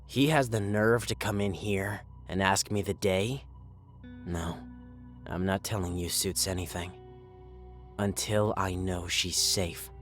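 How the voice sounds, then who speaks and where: An actor playing a bitter, ailing oil rig worker held in quarantine in a horror audio drama. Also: male voice, sits low, fairly even intonation, slow pace, soft background music. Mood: weary, brooding. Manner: bitter, agitated, profane. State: coughing, ill.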